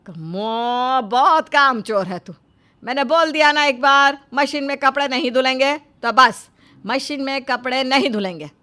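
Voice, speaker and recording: A woman's voice: high voice, measured pace, bright, forceful tone. A woman voicing a stubborn, bossy older village mother-in-law with a scolding, indignant manner in a dramatised moral story.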